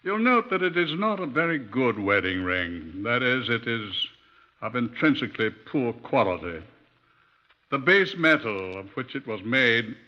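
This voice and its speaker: A British man playing a solemn, sentimental police chief superintendent in a 1950s radio drama, with deliberate, formal phrasing. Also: medium pitch, natural voice. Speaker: actor presenting a radio crime drama. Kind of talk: 1950s radio acting, storytelling introduction. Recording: dull, muffled 1950s radio recording.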